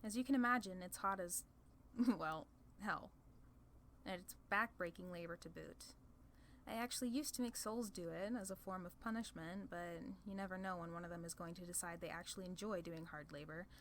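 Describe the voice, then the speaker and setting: A woman's voice: fairly low pitch, moderate pace, a little hiss or hum, close to an everyday voice. A woman voicing a playful, proud demon ruler of hell in a casual, sardonic ASMR roleplay. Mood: wry, matter-of-fact. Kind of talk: ASMR roleplay audio drama.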